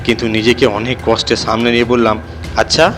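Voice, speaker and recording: A man's voice: mid-range voice, little pitch movement, a little fast, fairly bright tone, slight background noise. A man reading a scripted audio horror story as its narrator, telling it in the first person.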